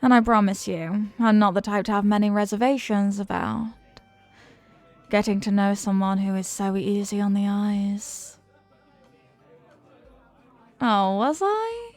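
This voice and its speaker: Female actor as confident, flirty woman charming a stranger: mid-pitched, teasing, playful, intimate roleplay delivery over faint music. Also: a little mellow, dry recording, close to an everyday voice. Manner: teasing, coy, sweet-talking. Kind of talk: intimate roleplay audio acting.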